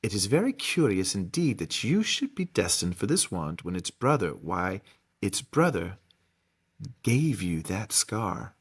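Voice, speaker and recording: Man doing an eccentric elderly wandmaker, storybook character, lowish voice, deliberate, muttering, ominous. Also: sing-song, breathy edge, full character voice. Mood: ominous, hushed. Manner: slow, deliberate, muttering, savoring words. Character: eccentric old male wandmaker, excitable, ominous, mysterious.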